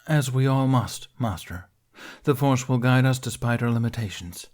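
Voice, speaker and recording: A man voicing a chastened young knight in an audiobook, deferential and subdued, with a quiet stubborn streak. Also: mid-range voice, moderate pace, voice pushed up, close to an everyday voice. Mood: respectfully defiant. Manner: subdued, deferential, brief answers. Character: chastened young male Jedi, meek yet quietly stubborn.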